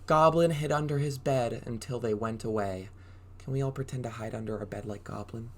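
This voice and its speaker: A man reading a storybook aloud as a preschool drama teacher, in an exaggerated, animated way, prompting young listeners with questions. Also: medium pitch, brisk, a little hiss or hum. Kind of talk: interactive children's read-aloud.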